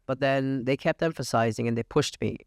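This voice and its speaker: A man from India, a real estate agent talking as a podcast guest, confident and matter-of-fact with frequent pauses. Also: medium pitch, no room sound, studio quality. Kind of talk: casual interview talk. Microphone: podcast studio mic.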